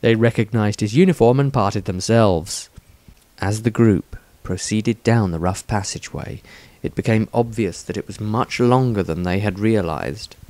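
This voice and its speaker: A man reading his own fantasy story as dramatic scripted narration, in a measured, suspenseful storytelling manner. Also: lowish voice, a little mellow, no room sound.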